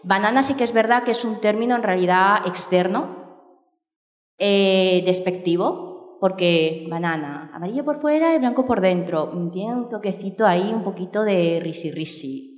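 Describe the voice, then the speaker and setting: A woman's voice: mid-range voice, normal speed. Woman talking in an echoey room. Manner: reflective, many fillers and restarts. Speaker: documentary filmmaker. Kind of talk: thoughtful interview talk. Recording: echoey room with long reverb.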